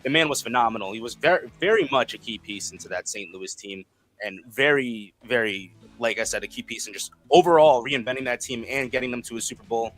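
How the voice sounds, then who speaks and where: A man's voice: medium pitch, a little fast, fairly bright tone, faint music behind, poor audio. A man on a sports panel who talks casually and repeats phrases as he builds his point.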